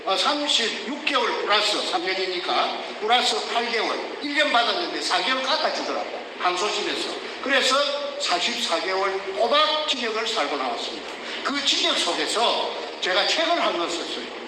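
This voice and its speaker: An older man with a Gyeongsang Korean accent gives heated, emphatic testimony, often shouting rhetorical questions. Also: sits high, sing-song, moderate pace, hardly any pauses. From South Korea. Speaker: whistleblower. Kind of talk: emotional testimony. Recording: echoey distant room with noise.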